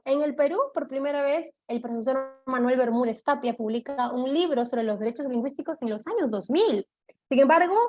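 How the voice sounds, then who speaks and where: A woman giving an online law lecture, explaining step by step, often checking in with her listeners and pausing on "eh". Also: high-pitched, darkish tone. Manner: explanatory, checks in with "¿de acuerdo?", frequent "eh" fillers.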